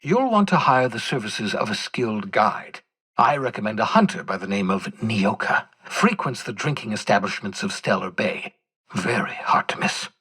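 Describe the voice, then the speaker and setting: An actor playing an eccentric, jittery older fugitive scientist in a video game, speaking in a theatrical, wordy, wry way with hesitant asides. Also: male voice, on the low side, lively intonation, moderate pace, sharp tone, slightly rough, heavily acted. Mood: chatty, wry. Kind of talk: video game character dialogue.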